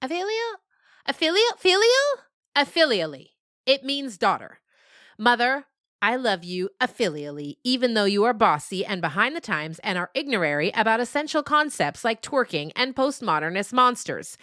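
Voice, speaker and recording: Woman doing a know-it-all kid goat, high and bright, talks slow and proper. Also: very animated, no room sound, studio quality. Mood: pompous. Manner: pompous, over-enunciated. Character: pompous young female goat, know-it-all.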